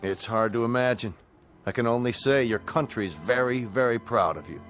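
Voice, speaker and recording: Man playing a measured military space colonel. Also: mid-range voice, a bit bright, natural voice. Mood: reassuring. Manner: measured, official, reassuring. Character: courteous, businesslike military colonel commanding a rescue spaceship. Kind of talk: dramatic radio acting. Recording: radio drama with faint music behind.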